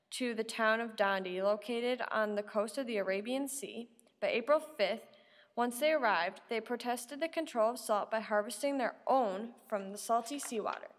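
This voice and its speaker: A woman's voice: on the high side, natural voice. A woman playing a 1930s radio news reporter, reading scripted bulletins. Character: 1930s radio newswoman reporting national, sports, world news. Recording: reverberant room, faint background noise.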